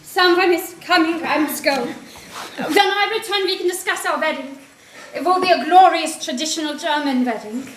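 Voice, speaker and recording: A woman's voice: very high-pitched, normal speed, a bit bright. A woman playing a devoted, plucky young German fiancée in a theatrical, declamatory stage style. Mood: loving. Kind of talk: stage play acting. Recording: echoey stage recording, faint noise.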